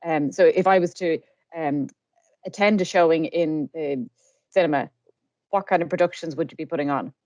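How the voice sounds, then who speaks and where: A woman with a slightly low voice hosts a podcast interview. Her delivery is conversational, and the sound is dry with very little room in it. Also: normal speed, darkish tone, no room sound, highs cut off. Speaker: podcast host, communications professional.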